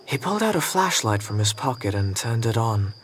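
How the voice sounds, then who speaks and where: A man playing a reflective, observant storyteller, narrating a radio drama in measured, literary phrases. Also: mid-range voice, sing-song, slight background noise, lightly acted.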